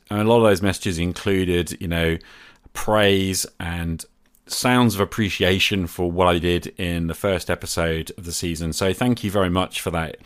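A man hosting a podcast, talking in a conversational way to his listeners. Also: low voice. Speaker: podcast host.

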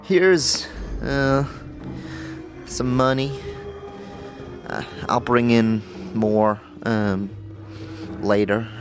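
A woman's voice: extremely low pitch, fairly even intonation, very slow, long pauses, over music, full character voice. A woman playing a frightened, hesitant debtor in an audio drama, stammering and pleading as she speaks. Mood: sullen, grudging. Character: nervous, frightened woman deep in tavern debt.

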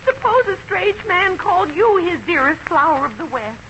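A sweet young American wife in a vintage radio sitcom. Her high, bright voice pleads with fretful drama. Faint noise sits on the old recording. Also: big pitch swings, moderate pace, lo-fi sound, heavily acted. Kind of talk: 1950s radio sitcom acting.

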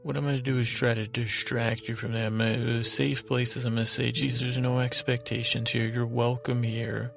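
A man hosting a podcast, delivering a rambling, whimsical bedtime monologue in a hesitant, deadpan way, often correcting himself and trailing off. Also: mid-range voice, a little fast, gravelly, few pauses, faint music behind. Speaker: podcast host.

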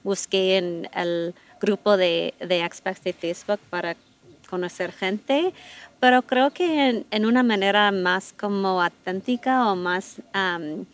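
A woman's voice: medium pitch, slow pace. A middle-aged American woman, speaking Spanish as a second language, chatting thoughtfully as a guest, with many fillers and restarts. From United States. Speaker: guest, expat parent. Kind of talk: casual interview chat.

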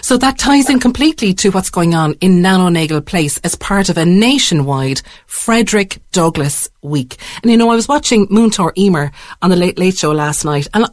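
An Irish woman presents an arts programme on radio in a slightly low voice, recorded close and dry. She is effusive and wordy, interviewing in a conversational way. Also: lively intonation, normal speed, hardly any pauses, no room sound. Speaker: arts radio show host.

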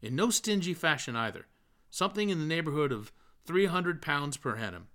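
A man voicing an earnest, well-meaning middle-aged gentleman who lectures in a pompous, verbose, fussy way for an audiobook. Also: on the high side, animated, a little fast, pitched above the performer's own voice. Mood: dramatic, earnest.

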